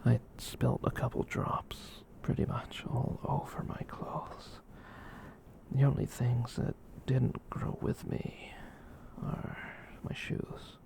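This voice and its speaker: A huge, soft-hearted catboy played by an ASMR performer murmurs in a breathy whisper full of heavy fry. The delivery is slow and mellow, tender and a little hesitant, rambling gently to reassure the listener. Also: mid-range voice, little pitch movement. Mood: bashful, gentle. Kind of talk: whispered ASMR comfort roleplay.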